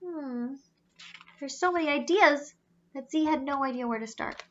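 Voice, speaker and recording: A woman's voice: high voice, normal speed, dull audio, background noise. A woman reading a children's storybook aloud expressively, adding explanatory asides and wondering aloud to young listeners.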